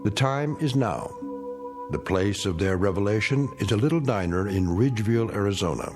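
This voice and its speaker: An older man narrating a suspense radio drama as a grave, ominous storyteller in a measured, portentous way. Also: on the low side, animated, over music, natural voice. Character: grave, ominous male narrator of eerie tales.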